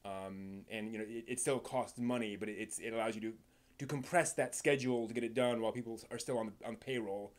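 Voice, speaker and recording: A man hosting a live stream, giving casual unscripted commentary in a halting, rambling way that repeats words and trails off. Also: lowish voice, fairly even intonation. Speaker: live stream host and anime reviewer.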